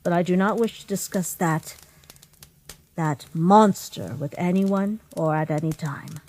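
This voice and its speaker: A woman voicing a gentle, motherly monster queen in a comic dub, speaking with formal, measured politeness. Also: lowish voice. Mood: grave, bitter. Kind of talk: comic dub character acting. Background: music.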